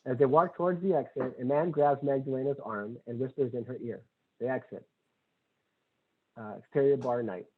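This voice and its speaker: A man's voice: mid-range voice, normal speed, slightly rough. A man reading screenplay stage directions as scripted narration at a table read. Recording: tinny phone-like audio.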